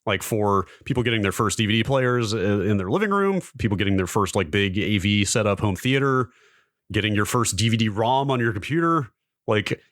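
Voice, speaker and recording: A man's voice: fairly low pitch, lively intonation, brisk, a bit bright, no echo, studio quality. A middle-aged American man in casual podcast conversation, hedging and dropping wry asides as co-host. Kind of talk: casual tech nostalgia chat.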